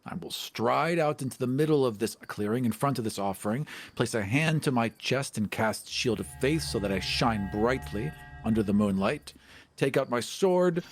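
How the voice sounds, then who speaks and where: A man playing a tabletop role-playing game, chatting casually and narrating his character's actions. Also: medium pitch. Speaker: player. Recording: clear background noise and ambience.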